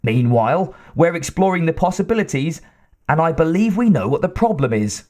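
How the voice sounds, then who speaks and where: A man's voice: on the high side, big pitch swings, a little fast, a little mellow, pitched above the performer's own voice. A man voicing a nervous little male scientist who stammers, with a nasal twang and a slightly raised voice. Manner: stammering, timid.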